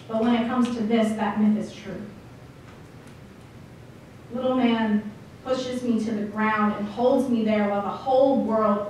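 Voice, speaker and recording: A woman performing slam poetry, speaking deliberately with dramatic phrasing and pauses. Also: a little high, a little mellow, recorded from a distance. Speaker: slam poet. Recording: echoey room from afar, faint noise.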